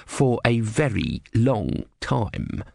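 A man voicing a small, grumpy tortoise god in a resigned, deadpan, vengeful mutter for a storybook. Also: mid-range voice, unhurried, no room sound, close to an everyday voice. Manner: dull, deadpan, muttering grumbles and threats.

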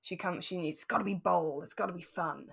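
A woman's voice: fairly low pitch, brisk, creaky, a bit bright, breathy edge. A British woman co-hosting a podcast, chatting casually and with a giggly, self-deprecating manner as she recaps a drama. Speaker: podcast co-host.

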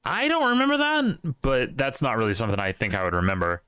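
A man co-hosting a podcast in casual chat, joking and animated, his voice jumping up when he gets excited. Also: quick pace, clear, resonant tone, no echo, clean studio sound. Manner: animated, joking, jumps up in pitch. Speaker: podcast co-host.

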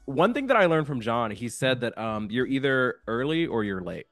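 A young man hosting a casual podcast chat, joking and animated, with lots of fillers. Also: medium pitch, normal speed, no echo, soft background music. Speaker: podcast host.

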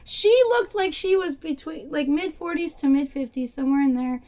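A woman with a high voice that has gone a little hoarse, hosting a relaxed movie review chat. She keeps breaking into giggles as she runs through the plot. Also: normal speed. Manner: giggly, laughs while summarizing. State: hoarse, losing her voice. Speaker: podcast co-host.

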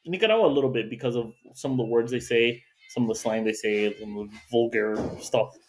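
Emphatic, opinionated man at a dinner table. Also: mid-range voice. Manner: emphatic, quick to correct others. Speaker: guest. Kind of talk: casual group chat. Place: home dining room.

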